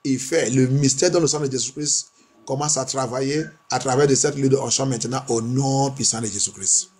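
A man's voice: mid-range voice, fairly even intonation, fairly quick, darkish tone. A male pastor, leading fervent church prayer with insistent, repeated declarations. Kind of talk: fervent church prayer, alternating French and English.

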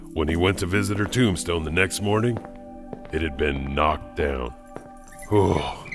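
Horror anthology host played by a man: wry storytelling janitor, lowish voice, clear music bed underneath. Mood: matter-of-fact storytelling, then wry, chatty. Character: wry janitor host telling creepy urban legends. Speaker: host and narrator. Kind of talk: scripted horror anthology narration.

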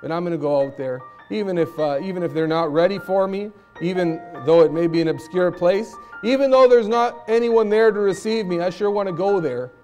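A middle-aged man who grew up speaking Spanish gives an earnest missions talk from a church pulpit. His tone is mellow and warm. He talks in a conversational way and climbs higher when he presses a point. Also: a little fast, hardly any pauses, soft background music. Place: church sanctuary stage at a pulpit.

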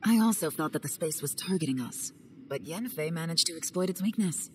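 An actress voicing a cool, secretive young woman agent in a game cutscene, speaking in a calm, measured way. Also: slight background noise. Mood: calm, analytical. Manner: calm, measured, low-key. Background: game music.

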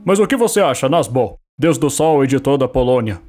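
A man with a Brazilian Portuguese accent dubbing a comedy cartoon in a panicked, shouty way. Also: medium pitch, animated, a little fast, faint music behind. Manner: shouty, panicked comic delivery. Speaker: fan dubber. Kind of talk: fan dub of a comedy cartoon.

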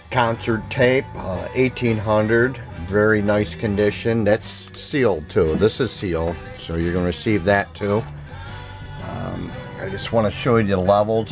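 A middle-aged man giving a casual, plainspoken product demo with repetitive sales patter, recorded on a handheld camera indoors. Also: low-pitched. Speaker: seller demonstrating used audio gear. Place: indoor room at home, music playing behind. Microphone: handheld camera mic, speaker behind camera.

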